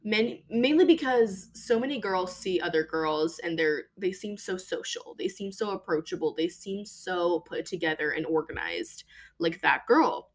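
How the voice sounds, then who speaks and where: Young woman hosting a podcast, solo. Lower-pitched voice, chatty and emphatic, lots of fillers. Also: expressive, unhurried. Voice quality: deeper voice than most women. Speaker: podcast host. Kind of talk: casual personal monologue.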